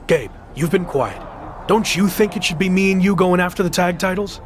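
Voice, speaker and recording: An actor playing a cocky, ambitious young wrestler in a video game, speaking in an assertive, argumentative way. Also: male voice, high voice, normal speed, slight background noise, lightly acted. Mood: defiant. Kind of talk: scripted video game cutscene acting.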